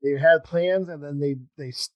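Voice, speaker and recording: A man hosting a podcast in casual conversation, talking in a halting, rambling way as he thinks aloud. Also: on the high side, steady tone, no room sound, dull audio. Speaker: podcast host interviewing a guest. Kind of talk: casual chat.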